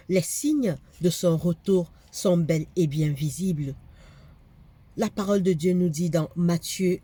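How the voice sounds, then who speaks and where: Woman preacher with a cold, warm mellow tone, fervent and repetitive delivery. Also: fairly low pitch. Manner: fervent preaching, repeats phrases for emphasis. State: has a cold, congested. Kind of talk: sermon.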